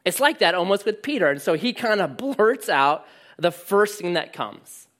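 Pastor, male, loud voice that carries; animated, joking sermon storyteller with lots of pitch movement. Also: normal speed.

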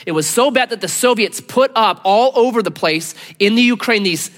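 A man preaching a sermon as a pastor, earnest and emphatic, dropping to low, intense tones for weight. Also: expressive, moderate pace, a bit bright. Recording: long hall reverb.

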